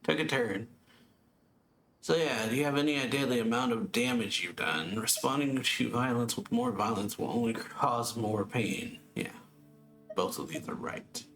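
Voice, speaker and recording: A man's voice: medium pitch, lively intonation, normal speed, gravelly, a bit bright, soft background music, poor audio. A man giving casual, muttered let's play reactions as a gaming streamer.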